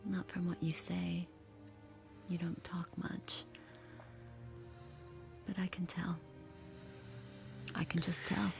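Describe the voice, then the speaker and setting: An anxious, secretive young woman in an intimate audio drama. She murmurs slowly and haltingly, with a hushed voice that creaks at the edges. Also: lowish voice, little pitch movement, over music. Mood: tender, earnest. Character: secretive, anxious young woman living under oppressive rule.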